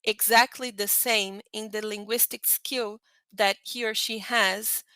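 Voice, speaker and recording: A woman's voice: mid-range voice, fairly even intonation, measured pace, sharp tone, no room sound. A woman, giving a conversational lecture to teachers with frequent fillers and checks for agreement. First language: Portuguese. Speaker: teacher trainer. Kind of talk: online lecture presentation.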